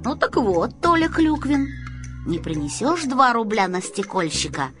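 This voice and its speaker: A woman's voice: on the high side, very animated, measured pace, music in the background. A woman voicing a businesslike, officious male building manager, patronising and matter-of-fact, in a storybook reading.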